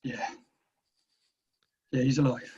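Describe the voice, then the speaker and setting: A man's voice: slow pace, mellow, lo-fi sound, lightly acted. A man playing a hard, self-serving military commander, firm and bargaining, in a screenplay table read. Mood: smug.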